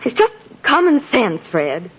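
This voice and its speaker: Husky, practical-minded sweetheart. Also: female voice, a little high, wildly swooping pitch, measured pace, heavily acted. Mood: earnest pleading. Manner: earnest, gently reproving. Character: practical, caring sweetheart of an idealistic lawyer. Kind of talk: 1950s radio acting. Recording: dull old 1950s radio recording.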